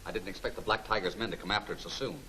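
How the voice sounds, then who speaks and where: An actor playing a confident crime-fighting scientist boss in a 1940s film serial, assured and explanatory. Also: male voice, sits low, fairly even intonation, racing. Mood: explaining. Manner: assured, explanatory, quietly commanding. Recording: noisy old 1940s film soundtrack.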